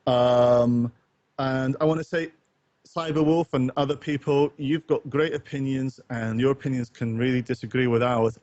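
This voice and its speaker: A man's voice: mid-range voice, steady tone, normal speed, darkish tone, no echo. Man, muffled dry recording. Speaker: podcast co-host and streamer. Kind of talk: casual live chat with stream viewers. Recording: dull, close, lo-fi voice chat.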